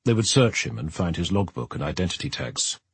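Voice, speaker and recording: A man reading scripted third-person narration from a novel as an expressive audiobook narrator. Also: low voice, a little fast, creaky, slightly rough, dry recording.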